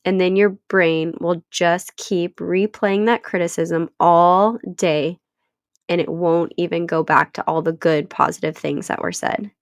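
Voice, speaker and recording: Woman podcast host, thirties, stuffy from a cold, slow and earnest, dry close mic. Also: on the low side, steady tone, no echo. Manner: earnest, encouraging, unhurried. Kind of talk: solo podcast talk.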